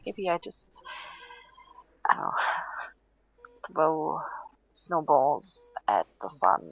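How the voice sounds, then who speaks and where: An actress voices a sleepy young female patient in an intimate audio roleplay. Her voice is husky and breathy, swinging expressively between soft whispers and pouty murmurs. She is stubborn and playful despite her tiredness, and the recording is slightly dull. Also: low-pitched, no echo, heavily acted. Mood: playful defiance. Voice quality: breathy, often dropping to a whisper.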